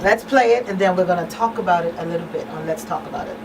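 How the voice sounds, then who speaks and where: A woman's voice: fairly low pitch, fast talker, recorded from a distance. A woman hosting a live radio talk show. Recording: off-mic, noisy room.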